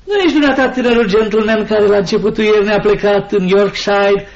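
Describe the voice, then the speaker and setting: An actor playing a generous, righteous gentleman employer with a courteous, assured manner in a Romanian radio drama. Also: high-pitched, steady tone. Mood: genial, concerned. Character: genial, righteous, generous gentleman business owner. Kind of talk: Romanian radio theatre acting. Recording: dull lo-fi radio drama recording.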